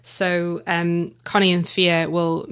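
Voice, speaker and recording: Brief: woman writer in an interview, low-ish flat voice, hesitant, chatty. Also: slow, clear, resonant tone. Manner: hesitant, with many ums and restarts. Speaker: guest, audio drama writer. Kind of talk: casual interview chat.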